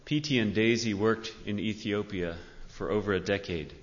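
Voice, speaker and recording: A man speaking as a pastor to his congregation, earnest and measured, in the style of a church address. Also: fairly low pitch, a little hiss or hum. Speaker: pastor introducing a guest speaker. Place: large indoor church sanctuary. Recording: reverberant church hall, dull sound.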